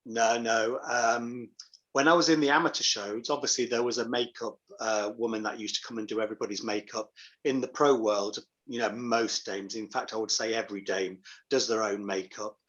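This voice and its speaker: A man's voice: on the low side, fairly even intonation, normal speed, fairly bright tone. Chatty English man, dull lo-fi sound. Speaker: pantomime dame performer, interview guest. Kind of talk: casual chat.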